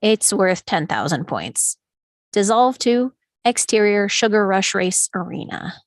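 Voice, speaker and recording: A woman's voice: mid-range voice, very animated, a little slow, no room sound, studio quality. A woman reading stage directions as scripted narration at a table read, in a dramatic storytelling manner.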